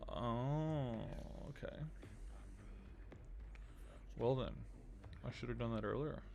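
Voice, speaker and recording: Creaky-voiced man thinking aloud while gaming. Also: mid-range voice, slow pace, a little mellow, muffled recording, a little hiss or hum. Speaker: game streamer. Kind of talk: casual live gameplay commentary, thinking aloud.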